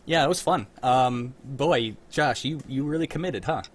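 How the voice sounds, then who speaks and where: A man's voice: mid-range voice, normal speed, a loud crowd. A man hosting a casual livestream, chatting informally with friends and running a trivia game in an easygoing way. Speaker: host and quizmaster.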